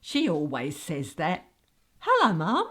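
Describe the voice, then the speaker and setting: A woman acting an excitable middle-aged bride-to-be daughter in a comic radio soap, gushing and theatrical. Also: on the low side, slow, slightly rough, full character voice. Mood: exasperated.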